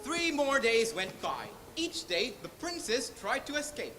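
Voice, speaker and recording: A woman's voice: fairly high pitch, very animated, a little slow. A female storyteller narrating a scripted children's tale in a theatrical, recited manner. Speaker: storyteller in a school team. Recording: live stage contest, clear background noise.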